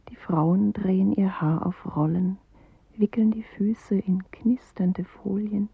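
Hushed, husky solemn inner self. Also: female voice, mid-range voice, dry recording, phone-quality sound, full character voice. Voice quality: breathy, husky. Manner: slow, hushed, solemn recitation. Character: inward female self, a solemn first-person poetic voice. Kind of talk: radio play poetic monologue.

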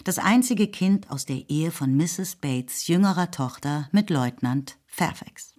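A woman narrating a literary radio drama in a measured, composed way. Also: low-pitched, expressive, a little mellow.

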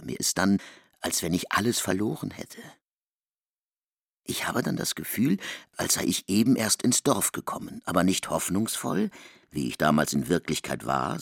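A man working as narrator in a literary radio play, reading the words of troubled characters with a creaky voice. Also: on the low side, dry recording, studio quality, heavily acted.

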